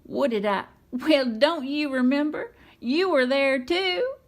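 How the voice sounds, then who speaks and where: A woman's voice: sits high, expressive, slow, pitched above the performer's own voice. A woman voicing a proud, folksy cartoon tow truck who spins tall tales in a children's storybook. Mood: insistent.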